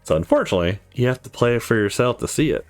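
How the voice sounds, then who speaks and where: A man's voice: mid-range voice, big pitch swings, very fast. A man giving casual, colloquial commentary as he hosts a visual novel let's play. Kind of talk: casual game commentary and read-aloud of visual novel text. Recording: music under the voice. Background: game music.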